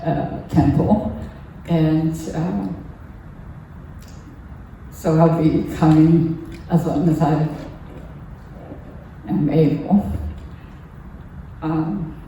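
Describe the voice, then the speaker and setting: A woman's voice: low-pitched, fairly even intonation, creaky, dark tone. Older Japanese-accented woman in an echoey hall. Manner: halting, earnest, pausing often mid-phrase. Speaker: lay speaker at a Buddhist temple service. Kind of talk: personal testimony talk to a congregation. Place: temple hall during a service. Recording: echoey room, distant mic, faint noise.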